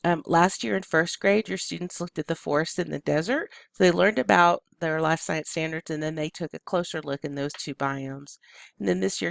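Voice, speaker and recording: Gravelly, allergy-hoarse curriculum presenter. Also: medium pitch, dry recording. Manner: hesitant, many ums and uhs. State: froggy, gravelly throat from allergies. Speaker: science curriculum presenter for teachers. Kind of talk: recorded curriculum walkthrough.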